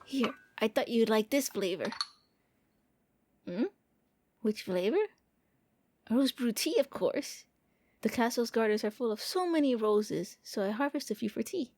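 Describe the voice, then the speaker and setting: A gentle young vampire prince voiced by a woman speaks in a lightly high, soft voice, recorded very dry. He is an eager, lonely host, coaxing and a little hesitant, with playful touches in an anime ASMR roleplay style. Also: expressive, unhurried, pauses often, no room sound. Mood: pleased, inviting.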